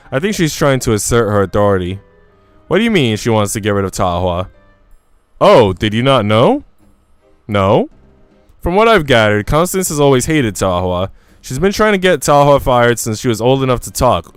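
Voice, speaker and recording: A man's voice: mid-range voice, clear, resonant tone, no room sound. A man livestreaming a game, talking casually with informal slang and reading story text aloud. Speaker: game streamer.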